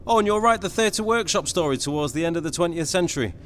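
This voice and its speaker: An actor playing an outspoken young theatre activist in a radio drama. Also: male voice, on the high side, quick pace, slight background noise. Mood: brash. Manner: animated, outspoken. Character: Ewan, outspoken young working-class male theatre activist.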